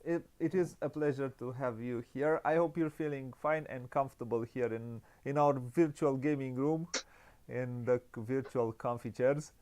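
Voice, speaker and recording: A man's voice: mid-range voice, normal speed, darkish tone. A man hosting an unscripted interview, speaking hesitantly with many stammered restarts. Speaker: interview host. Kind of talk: unscripted board game interview. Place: online video call interview.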